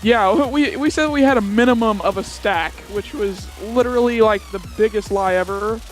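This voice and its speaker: A young male gamer with a very high-pitched voice tells a story in loose, casual chat. He is giggly and rambles, circling back and repeating words. Faint music plays behind him. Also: moderate pace.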